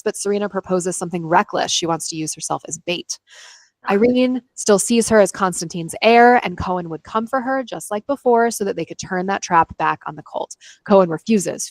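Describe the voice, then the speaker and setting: A woman's voice: mid-range voice, no echo. A woman co-hosting a book club podcast, moving between casual, opinionated chat and read-aloud chapter summaries with dry humor and easy swearing.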